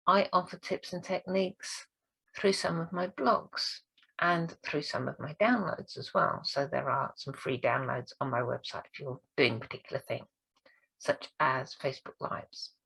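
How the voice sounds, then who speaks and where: A woman with a low, croaky voice, gravelly and husky from hoarseness, talks straight to camera as a speaking coach. Her delivery is earnest and encouraging. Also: moderate pace, a bit bright, low-quality recording. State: hoarse this week. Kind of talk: direct-to-camera training talk.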